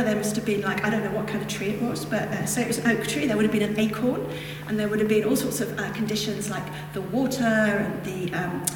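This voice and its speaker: A woman with a northern English accent gives a reflective Buddhist teaching, meandering through casual asides. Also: mid-range voice. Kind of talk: dharma talk to a group. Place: hall with a lectern. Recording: echoey hall, distant mic, background noise.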